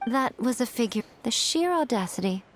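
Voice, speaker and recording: A woman's voice: a little high, expressive, moderate pace, close to an everyday voice. A woman voicing a haughty, composed fox shrine maiden in a video game, with a wry, teasing, drawling delivery. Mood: mocking, haughty. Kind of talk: video game character dialogue.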